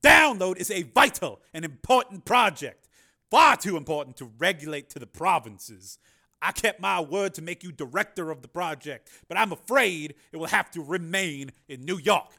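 A cool, manipulative politician, as the narrator plays him, speaking slowly and wryly with a quietly menacing calm. The voice sits a little above the reader's own and carries a bright edge. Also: male voice, high-pitched, pitched above the performer's own voice, exaggerated voice. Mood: calmly menacing. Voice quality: calm and wry.

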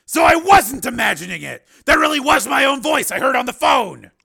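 Intense action hero, played by a male narrator: raised pitch, harsh and forceful, strained emphatic audiobook delivery. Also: very animated, moderate pace, gravelly, husky, full character voice. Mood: shocked.

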